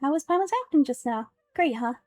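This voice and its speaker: A woman voicing a tiny, excitable, childlike female fairy companion from a video game, perky and boastful with a squeaky voice. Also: fairly quick, dry recording, faint music behind, close to an everyday voice. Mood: excited, proud. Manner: perky, boastful, speaks of self in third person.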